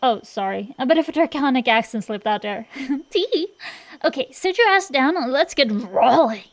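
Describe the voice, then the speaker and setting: A woman's voice: high-pitched, expressive, normal speed. A woman playing a cocky tsundere dragon-girl sorceress in an acted roleplay, bantering straight to the listener with sassy, slangy, defensive bluster. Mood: brash, excited.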